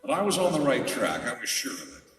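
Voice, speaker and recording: A man playing a world-weary, wisecracking private detective in a noir radio drama, speaking in a wry, deliberate way. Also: mid-range voice, big pitch swings, a bit bright, slight background noise. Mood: brooding, wry. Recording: echoey hall, distant mic.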